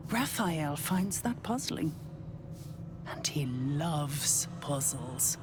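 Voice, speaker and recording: A woman playing a knowing, ominous servant of a devil in a video game, with a deliberate, warning manner. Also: deep voice, big pitch swings, over music, full character voice. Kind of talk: video game character acting. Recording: clear background ambient noise.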